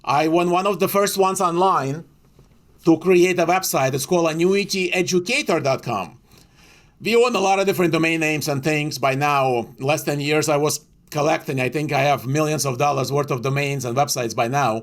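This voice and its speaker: A middle-aged man with a Ukrainian accent, a guest telling his life story in a relaxed interview over a video call, acting out his own past thoughts. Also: fairly high pitch, normal speed. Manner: animated, quotes his own past thoughts, frequent fillers.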